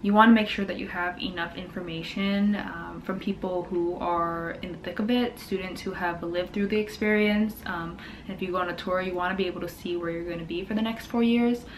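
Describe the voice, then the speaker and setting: A woman's voice: on the low side, fairly even intonation, fairly quick, slight background noise, rough recording. A woman in her twenties from Hawaii, a dental student chatting casually in her vlog with lots of fillers. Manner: chatty, upbeat, many fillers like "um" and "like". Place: home, indoors.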